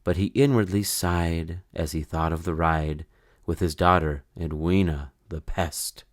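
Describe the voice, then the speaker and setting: A man reading a children's story in verse aloud, with an expressive, measured, sing-song delivery. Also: sits low, no echo, studio quality. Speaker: storybook reader.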